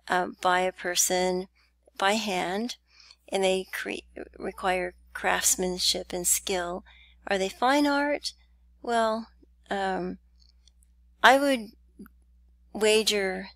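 A woman teaching essay writing over slides, talking in a relaxed, chatty way with small laughs and ums. Her voice sits at a middle pitch. The recording is dry and close, with no room sound. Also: vocal fry, no room sound. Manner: chatty, with light laughs and fillers. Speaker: writing instructor. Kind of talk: narrated slide lecture.

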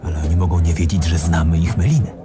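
An actor in a radio crime drama playing an earnest gang member giving reports, with music behind. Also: male voice, sits low, fairly even intonation, quick pace, lightly acted. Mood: concerned.

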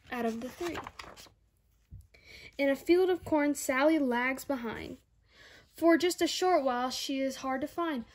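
A woman's voice: high-pitched, darkish tone. A young girl reading a children's picture book aloud, careful and word by word, with little asides to listeners. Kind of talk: read-aloud storybook narration with asides. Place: indoor room at home.

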